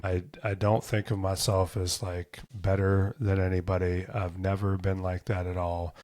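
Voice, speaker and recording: A man in his fifties from the United States talks through his memories alone, loose and casual, often stopping to correct himself mid-sentence. His voice sits on the low side, and the recording is dry and close with no room sound. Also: darkish tone, no room sound. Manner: rambling, casual, with frequent self-corrections. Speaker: show host. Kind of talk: solo reminiscing monologue.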